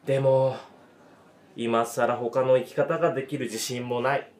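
A man's voice: medium pitch, steady tone, a little slow, a little mellow, close to an everyday voice. A man in his thirties playing a weary, self-doubting cafe owner in a radio drama, speaking in a hesitant, reflective, understated way. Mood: troubled, uncertain. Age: 30s. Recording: roomy, echoing voice recording.

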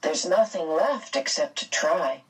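A woman voicing a mild, determined middle-aged father in a storybook, polite and a little halting. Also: sits low, animated, breathy edge, distant mic, full character voice.

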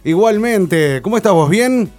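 A man hosting a radio interview, asking questions in a relaxed way with many hesitant fillers. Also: high voice, unhurried. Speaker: radio host.

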